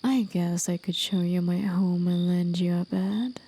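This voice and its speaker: Woman as a slow, whispering, gentle forest demon. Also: on the low side, steady tone. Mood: soft, coy. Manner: slow, soothing, breathy half-whisper. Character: gentle, aged, mysterious female forest demon with tail. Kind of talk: ASMR roleplay, whispered and intimate.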